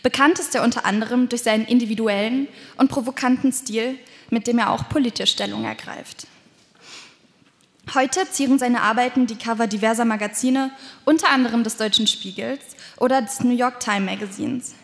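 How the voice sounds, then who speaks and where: A woman's voice: fairly high pitch, fairly even intonation, a little fast, vocal fry. A woman giving a formal, scripted introduction as an event presenter. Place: large museum hall at a conference. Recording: reverberant hall.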